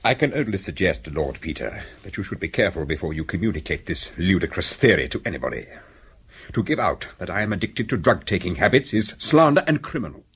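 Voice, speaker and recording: Very husky, haughty English villain. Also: male voice, fairly low pitch, a bit bright, full character voice. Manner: formal, haughty, outraged. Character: pompous, indignant older gentleman villain, cornered poisoner. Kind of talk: radio drama acting.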